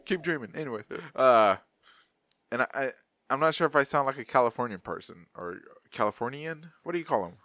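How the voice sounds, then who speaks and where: A man's voice: medium pitch, expressive, brisk, sharp tone, no room sound, studio quality. A man in his thirties from Arizona, hosting a podcast in loose, rambling casual chat, swearing freely and laughing often. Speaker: podcast host.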